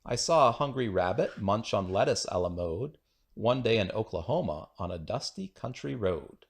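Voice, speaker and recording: A man's voice: fairly low pitch, animated, normal speed. A man reading children's rhyming poems aloud in a playful, singsong, rhythmic way. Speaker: children's book reader.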